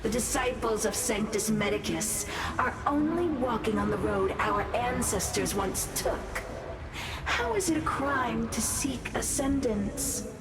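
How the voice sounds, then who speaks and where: A woman's voice: a little high, animated, a little slow, recorded from a distance. A woman playing a fanatical, defiant alchemist cult leader in a video game, speaking in solemn, formal, grandiose oratory. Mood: proud, impassioned. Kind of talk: scripted video game voice acting. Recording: echoing voice under loud game music.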